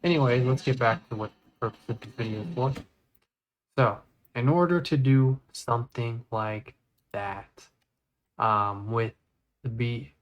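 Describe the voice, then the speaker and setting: A man with a mid-range voice talks through a software tutorial. He is casual and works step by step, often thinking aloud as he goes. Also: mid-range voice, dull audio. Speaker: video editing tutorial presenter. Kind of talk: casual screen-recorded tutorial.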